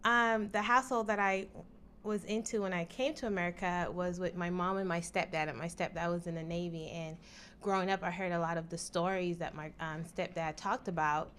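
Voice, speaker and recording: A woman's voice: on the low side, steady tone, fairly quick, a bit bright, slight background noise. A woman, answering interview questions in a studio in an easygoing, reflective way. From Jamaica. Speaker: interview guest.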